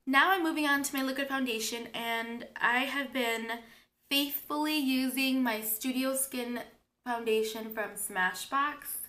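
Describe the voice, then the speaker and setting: A woman's voice: high-pitched, a little slow, bright, forceful tone. A young woman with a cold and a sore throat, chatting her way through a makeup tutorial and explaining each step as she works. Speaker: beauty vlogger.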